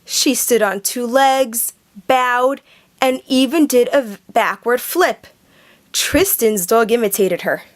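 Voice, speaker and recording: Woman reading a picture book slowly. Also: on the high side. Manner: slow, careful storybook reading. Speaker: children's book reader.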